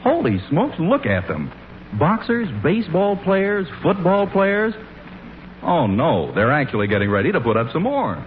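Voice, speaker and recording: A man's voice: fairly high pitch, wildly swooping pitch, normal speed, slight background noise. A man with an American accent announcing and narrating a 1940s radio show in a polished, theatrical way. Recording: muffled old radio recording, music.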